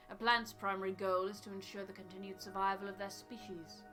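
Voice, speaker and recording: A woman voicing a scholarly, aloof male botanist from a video game, with precise, formal, measured phrasing. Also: mid-range voice, level tone, sharp tone, faint music behind, natural voice. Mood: formal, musing. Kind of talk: voiced reading of video game dialogue.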